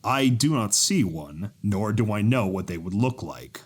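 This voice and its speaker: A man playing a reserved, stoic zebra stallion in an audio drama, speaking formally with a husky voice. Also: on the low side, a little slow. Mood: neutral. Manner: flat, formal, terse.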